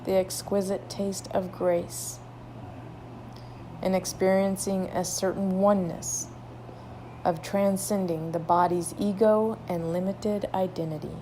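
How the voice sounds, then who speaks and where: A woman leading a guided meditation, soothing, with drawn-out phrases and long pauses between them. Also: mid-range voice, fairly even intonation, dark tone, slight background noise, poor audio.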